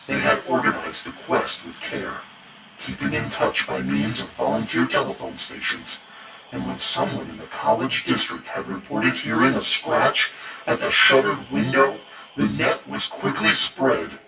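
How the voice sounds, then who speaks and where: A man reading a horror story in a theatrical, ominous way, with deliberate emphasis. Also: mid-range voice, expressive, gravelly, heavy background noise. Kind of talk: dramatic bedtime horror story narration. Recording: roomy echo, distant, music behind. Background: music bed.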